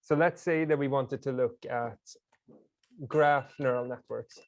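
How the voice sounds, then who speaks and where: A man's voice: medium pitch, fairly even intonation, unhurried. Swedish man lecturing casually. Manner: casual, explanatory, with filler "like". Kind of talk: classroom lecture.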